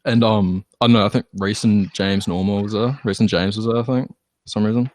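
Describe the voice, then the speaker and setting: A man's voice: fairly low pitch, fairly even intonation, fairly quick, darkish tone, dry recording. A young Australian man chatting casually and telling anecdotes in a rambling, slangy way. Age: young adult. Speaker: band member and podcast guest. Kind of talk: casual group chat, anecdotal storytelling.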